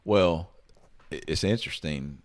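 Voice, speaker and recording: A man's voice: a bass voice, unhurried. A man in his forties hosting a podcast, talking casually and enthusiastically, often repeating words as he goes. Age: 40s. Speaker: podcast host.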